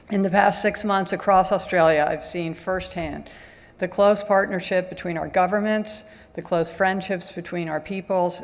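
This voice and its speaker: A woman giving a formal public address as an ambassador, soft-spoken and measured, with frequent hesitant ums. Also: on the low side, vocal fry. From United States. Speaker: ambassador giving welcome remarks. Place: large stadium event venue. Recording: echoey large event hall.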